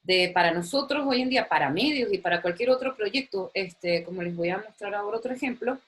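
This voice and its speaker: A woman's voice: medium pitch, animated, brisk. A woman from Chile, giving a recorded university lecture in a chatty, enthusiastic way, repeating words and using many fillers. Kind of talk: recorded online lecture with screen sharing.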